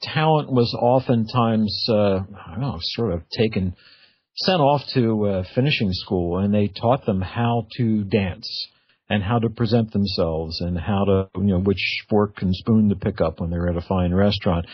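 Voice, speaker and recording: A man's voice: lowish voice, moderate pace, slightly rough, no echo. A man hosting a radio show, chatting casually and hesitantly as he searches for words. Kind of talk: casual interview chat. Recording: dull, lo-fi, dry radio audio.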